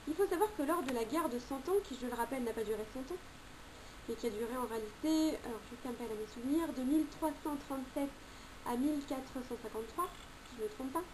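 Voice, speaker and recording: A woman's voice: high voice, fast talker, noisy background. A woman giving an informal spoken commentary on tarot cards, chatty, with frequent hesitations and self-corrections.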